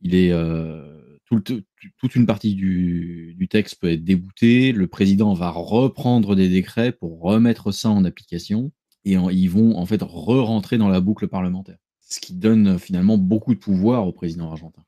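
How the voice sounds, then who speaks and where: A man speaking as a guest in a casual political discussion, measured and explanatory, with ironic asides. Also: sits low, mellow. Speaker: guest commentator.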